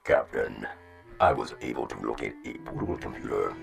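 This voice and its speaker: A man playing a loyal, formal rabbit first officer in a sci-fi audio drama, measured and deferential. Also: extremely low pitch, expressive, creaky, music in the background, heavily acted. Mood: businesslike.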